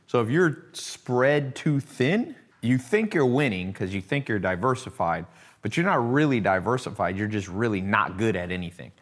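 Male interview host, low voice, chatty, eager questions. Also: expressive, moderate pace. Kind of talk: casual interview talk.

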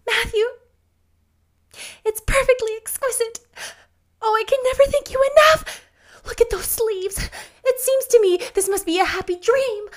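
A woman reading a storybook as an excitable, gushing young girl who exclaims with romantic gratitude. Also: extremely high pitch, a little slow, pauses often, pitched above the performer's own voice. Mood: rapturous. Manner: effusive, rapturous exclamations. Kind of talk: storybook narration character voice.

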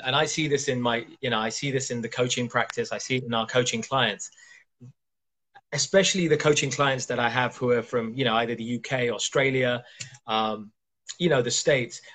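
A man from Kenya hosts a relaxed conversation with a husky voice at an average pitch. He speaks emphatically and drives home his points with rhetorical questions. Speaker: coach and podcast host. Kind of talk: casual interview chat.

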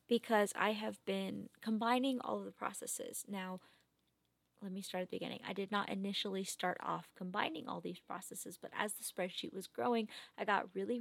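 A young woman records a spoken work log late at night, tired and methodical, picking apart her own habits as she thinks aloud. Her voice has a gravelly, fry-heavy edge. The sound is close and dry, with no room around it. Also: medium pitch, no echo. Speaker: research assistant logging work progress.

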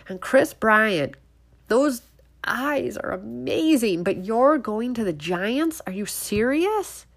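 A stuffed-up, nasal-sounding woman in her thirties talks through a casual solo show. Her pitch leaps around as she jumps from quiet mutters to loud, exasperated outbursts. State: congested, sniffling. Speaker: podcast host. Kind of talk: casual opinion monologue.

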